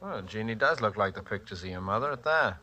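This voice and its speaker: A man's voice: fairly low pitch, expressive, fast, pushed and bright. A man acting in a 1940s radio drama as a blunt, sardonic, suspicious husband who tells his own murder story. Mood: suspicious, grumbling. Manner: plainspoken, sardonic.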